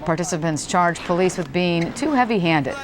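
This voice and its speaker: A woman's voice: lively intonation, normal speed. A woman news anchor reading scripted stories in a TV broadcast. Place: TV news studio. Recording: faint low background noise, 1990s TV broadcast.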